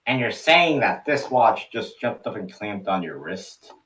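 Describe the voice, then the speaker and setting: A man voicing a caring old grandfather, firm and folksy, in an animated series table read. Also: lowish voice, a little slow, distant mic. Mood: reassuring. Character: gruff, caring old grandfather, steady and decisive.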